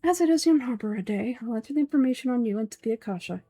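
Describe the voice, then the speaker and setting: A woman's voice: fairly high pitch, expressive, very fast, mellow, no room sound, soft background music. A woman voicing a pompous, coldly calculating old male villain from a game, speaking formally and condescendingly. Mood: smug, menacing. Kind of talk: amateur voice-over of game dialogue.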